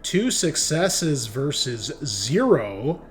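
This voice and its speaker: Man, tabletop game master, medium-pitched voice, improvised scene description, rules calls, slight noise behind him. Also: big pitch swings, drawn-out words. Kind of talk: narrating a tabletop roleplaying game.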